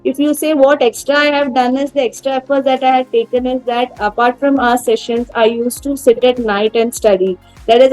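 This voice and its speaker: A woman's voice: on the high side, a little mellow, poor audio. A woman in her thirties with an Indian English accent, speaking earnestly as an interview guest, with fillers and restarts. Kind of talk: casual interview talk.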